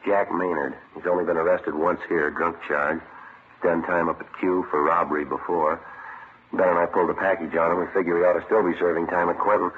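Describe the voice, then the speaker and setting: Man playing stoic american cop narrating, really low voice, clipped and flat, muffled old radio show with music. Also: a little fast, a little hiss or hum, natural voice. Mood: businesslike. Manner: clipped, matter-of-fact, deadpan. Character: stoic, businesslike male police detective sergeant. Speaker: narrator and lead police detective. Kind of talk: 1950s radio police drama, terse scripted narration.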